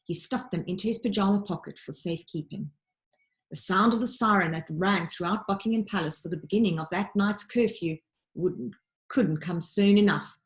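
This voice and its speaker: Woman reading a children's story expressively. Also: medium pitch. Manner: dramatic storytelling delivery with deliberate emphasis. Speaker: teacher reading aloud to pupils. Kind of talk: expressive children's audiobook narration.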